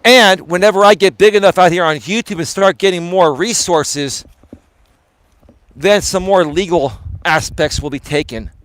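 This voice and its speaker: A man live streaming outdoors on his phone while walking, talking to viewers in a rambling, emphatic way, sounding tired and a little hoarse. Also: sits high, normal speed, a bit bright, dry recording. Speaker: life coach and live streamer. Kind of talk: casual live stream talk to chat. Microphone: phone camera held while walking.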